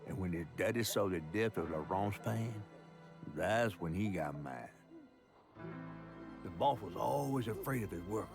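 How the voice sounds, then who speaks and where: An actor voicing a folksy, wry and caring talking fish in a video game, telling stories with a gravelly Southern US accent. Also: male voice, low voice. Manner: folksy, expressive, unhurried storytelling. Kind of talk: theatrical storybook narration and game dialogue. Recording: music under the voice.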